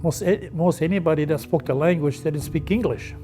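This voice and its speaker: Older man, slightly high voice, unhurried plain storytelling, guitar music behind. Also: dark tone. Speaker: visiting language lecturer. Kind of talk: documentary interview.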